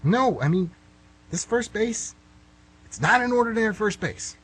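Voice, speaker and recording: A man's voice: high-pitched, heavily acted, poor audio. A man acting a flustered, middle-aged guy who nervously rambles and corrects himself in an amateur radio drama. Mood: earnest, pleading. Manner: nervous, halting, self-correcting, excitable. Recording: slightly rough amateur radio recording.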